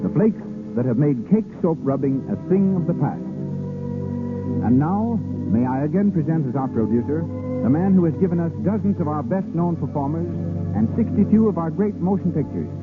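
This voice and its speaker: A man's voice: fairly high pitch, lively intonation, moderate pace. A man announcing a 1930s radio show in a formal, declamatory style, reading out introductions and sponsor copy. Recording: tinny 1930s radio broadcast recording. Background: loud music.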